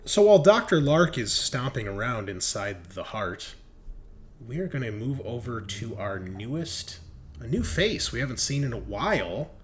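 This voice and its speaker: A man's voice: mid-range voice, sing-song, normal speed, a little hiss or hum. A man narrating a tabletop roleplaying game as game master in a conversational, casually sweary way.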